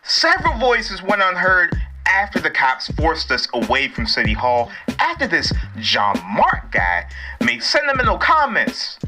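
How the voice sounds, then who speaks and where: Forceful female activist caller played by a woman, lowish voice, hugely varied pitch, challenging, music underneath. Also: wildly swooping pitch, a little slow. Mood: resentful, defiant. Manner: challenging, emphatic. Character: resentful, forceful woman activist calling radio show. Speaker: radio caller.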